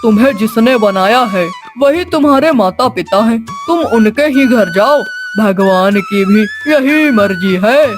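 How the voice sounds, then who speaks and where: A woman's voice: fairly high pitch, expressive, unhurried, hardly any pauses, over music. A woman voicing a proud, benevolent wandering holy man, solemn and self-pleased, in a cartoon story.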